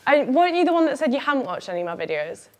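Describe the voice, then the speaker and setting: A woman's voice: sits high, very animated, rapid-fire, a little mellow. Blunt giggly young woman. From South London. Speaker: blindfolded dating show host. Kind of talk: casual cheeky interview.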